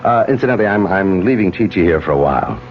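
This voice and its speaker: An actor playing an earnest, courteous middle-aged surgeon in a 1970s radio drama, explaining things in a measured way. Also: male voice, low-pitched, animated, a little hiss or hum. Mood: earnest, explanatory. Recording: muffled 1970s AM radio broadcast.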